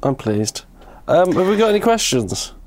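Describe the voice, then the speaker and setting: A man's voice: high-pitched, big pitch swings, moderate pace, a little mellow. A man co-hosting a podcast in casual chat, jokey and rambling, still a little congested from being ill. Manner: jokey, rambling, playful asides. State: recovering from illness, a little congested. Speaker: podcast co-host. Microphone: remote call setup.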